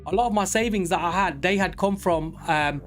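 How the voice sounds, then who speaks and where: A man of forty talking casually and candidly on a live trading stream, rambling with many pauses. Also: sits high, faint music behind. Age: 40s. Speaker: livestream day trader.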